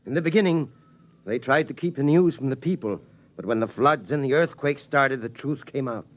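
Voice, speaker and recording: A man playing a weary, steady father who tells his family how the world ended, in slow, solemn 1950s radio drama style. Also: mid-range voice, natural voice. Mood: ominous. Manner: slow, solemn storytelling cadence. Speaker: father telling the family story. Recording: dull 1950s radio broadcast sound.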